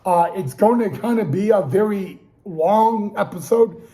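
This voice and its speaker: A man's voice: high voice, unhurried, dark tone. A young man hosting a casual sports talk show, losing his voice and restarting often with stammered repeats. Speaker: podcast host.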